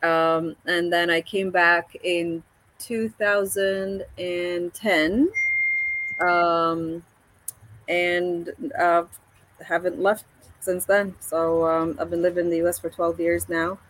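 A woman, a paramotor pilot speaking as an interview guest, hesitant with many pauses and restarts. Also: lowish voice, flat delivery, a bit bright, poor audio. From Egypt. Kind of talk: casual video-call interview.

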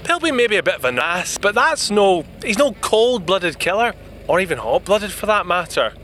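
Bright, high-voiced indignant British gentleman. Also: big pitch swings, normal speed, a little hiss or hum, exaggerated voice. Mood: incredulous. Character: indignant young 1930s British gentleman inventor. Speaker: actor in audio drama. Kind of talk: full-cast audio drama acting.

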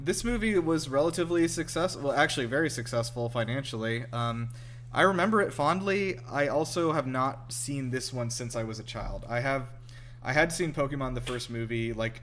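A man's voice: medium pitch, fairly bright tone, slight background noise. A man hosting a casual movie reaction show, chatty, informal and enthusiastic. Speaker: podcast host. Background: film music playing.

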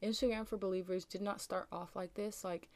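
A young American woman hosting a casual solo podcast, with a slightly raspy just-woke-up voice and a rambling, chatty way of talking full of ums and likes. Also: mid-range voice, fairly even intonation, brisk, darkish tone, no room sound. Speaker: podcast host.

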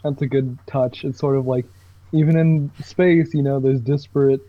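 A man's voice: medium pitch, fairly even intonation, normal speed. A man chatting on a podcast, eagerly jumping in to comment. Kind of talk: casual chat. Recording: muffled lo-fi line, dry.

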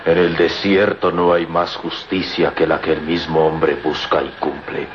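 An actor playing a proud, grieving Egyptian man bent on revenge, speaking gravely and formally in a declamatory radio serial style. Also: extremely low pitch, little pitch movement, unhurried. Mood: resolute, vengeful. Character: solemn, vengeful Western-educated Egyptian man, proud and grieving. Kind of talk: melodramatic radio serial acting. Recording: muffled noisy old radio recording.

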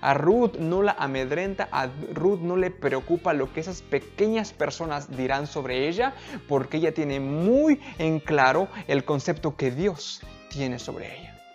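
Woman preacher with a Mexican accent, deep voice, earnest and emphatic, on a dull lo-fi stream with faint music. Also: expressive, a little slow, darkish tone. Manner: earnest, emphatic preaching with direct questions to listeners. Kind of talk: live-streamed sermon.

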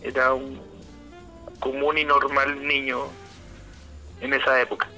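Bright-toned Chilean man, slangy and easygoing. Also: medium pitch, completely flat delivery, unhurried, faint music behind, low-quality recording. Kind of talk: casual chat.

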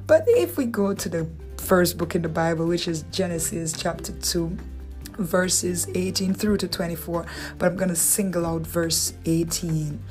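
Dominican woman, lowish voice, chatty Bible teacher, soft music bed. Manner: conversational, asks rhetorical questions, playful asides. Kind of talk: relaxed devotional teaching.

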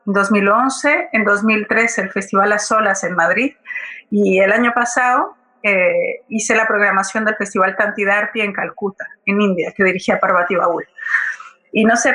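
Bright-voiced woman, conversational guest. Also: medium pitch, poor audio. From Colombia. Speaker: guest playwright and theatre director. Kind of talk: conversational account in a public forum.

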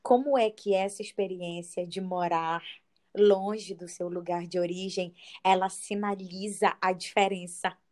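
A woman's voice: fairly low pitch, steady tone, measured pace, a little mellow. Reflective woman. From Belém, Brazil. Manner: reflective, explains ideas with examples. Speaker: podcast co-host. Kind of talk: casual podcast conversation.

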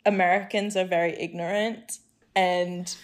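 Animated young woman in casual chat. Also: lowish voice, unhurried. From Hong Kong. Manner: animated, outspoken, laughs often.

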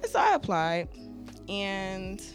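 A woman's voice: medium pitch, slow. Young woman from michigan, light girlish voice, chatty and laughing, faint music under it. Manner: lively, laughs often, light youthful-sounding voice. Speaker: podcast guest. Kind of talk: casual chat, storytelling.

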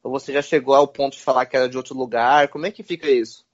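A man's voice: medium pitch, steady tone, rapid-fire. A man hosting a casual conversation, talking animatedly and calling the listener "cara". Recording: dull lo-fi sound.

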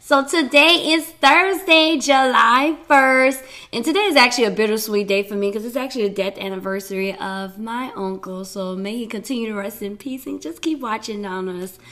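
A woman's voice: a little high, moderate pace, pushed and bright. A woman hosting her own podcast, talking in a chatty, rambling way with frequent laughs while she is losing her voice. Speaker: podcast host. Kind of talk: casual solo podcast talk.

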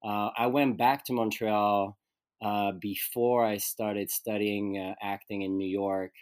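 Low-voiced Swiss-born man, dry sound. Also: fairly even intonation, slow pace, no echo. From Switzerland. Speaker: guest actor. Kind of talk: casual conversational interview.

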